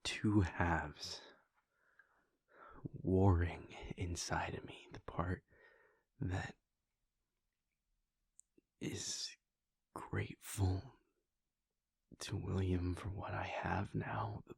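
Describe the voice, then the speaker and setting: A man voicing a brooding, conflicted male vampire prince in a whispered audio roleplay monologue, hesitant and breathy. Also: low voice, flat delivery, drawn-out words, pauses often, dry recording, full character voice. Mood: wistful. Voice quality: gravelly, breathy. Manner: soft whispering, hesitant restarts.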